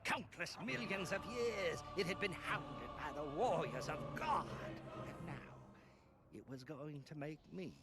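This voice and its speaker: An actor playing a tormented, deranged evangelist preacher, narrating a video game story in a theatrical, fervent, sermon-like way. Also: male voice, fairly high pitch, animated, a little slow. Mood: grim, fervent. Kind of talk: dramatic first-person game monologue. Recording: game audio with loud music behind.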